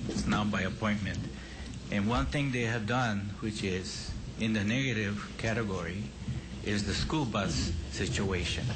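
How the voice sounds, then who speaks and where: A man giving measured public testimony at a legislative hearing, pausing with fillers. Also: fairly low pitch. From Hawaii. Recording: loud background noise.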